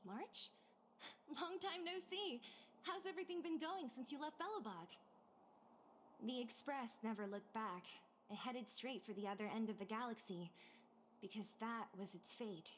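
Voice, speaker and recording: A woman as a blunt, high-pitched young woman. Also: moderate pace, a bit bright, a little hiss or hum, close to an everyday voice. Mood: wistful. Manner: plain-spoken, informal. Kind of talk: video game dialogue acting.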